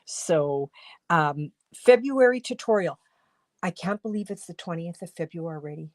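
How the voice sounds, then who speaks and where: A woman from Canada hosting a casual craft livestream from her home studio, congested with a cold, chatty and rambling, often clearing her throat. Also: fairly low pitch, lively intonation, moderate pace, darkish tone, no room sound. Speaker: livestream host and stamping demonstrator.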